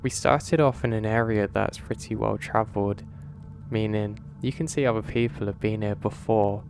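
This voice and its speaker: A man calmly narrating listener-submitted horror stories in a measured, scripted delivery. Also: fairly low pitch, creaky, faint music behind. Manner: calm, measured storytelling delivery.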